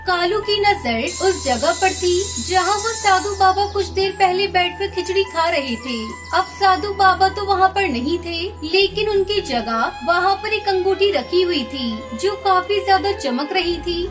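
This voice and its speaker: A woman tells a children's story in scripted narration. Her voice is strikingly high. Music plays steadily behind her. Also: lively intonation, a little slow, hardly any pauses.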